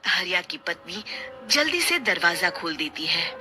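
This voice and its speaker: A woman's voice: on the low side, big pitch swings, measured pace, music in the background. A woman narrating a scripted story in a dramatic storytelling style.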